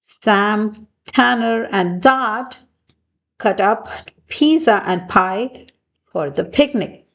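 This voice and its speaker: A woman reads a children's storybook aloud at a very slow pace. Her pitch is lively and swoops expressively, with deliberate phrasing meant for young listeners. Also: gravelly. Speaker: children's book reader.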